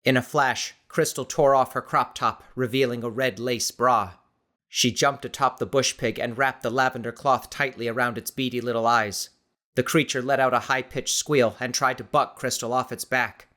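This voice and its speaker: Male audiobook narrator, dramatic scripted read, mid pitch, tense bright tone. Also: steady tone, moderate pace.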